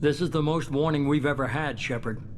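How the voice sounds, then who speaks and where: A man playing a calculating, authoritative spymaster in a video game, speaking in a measured, commanding way. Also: on the high side, noisy background, lightly acted. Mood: grave, calculating. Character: calculating, authoritative middle-aged male spymaster boss.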